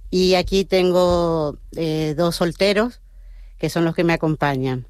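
A woman in her fifties, a grandmother, speaks calmly and evenly as a radio guest. Her voice is notably low, and the sound is close and dry. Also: measured pace, smooth, no echo.

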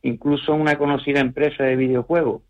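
A man speaks at a middle pitch in a measured, reflective way, pausing with small hesitations and tag questions. He is a novelist being interviewed. The sound is slightly muffled, like a radio broadcast. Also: fairly even intonation. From Canary Islands.

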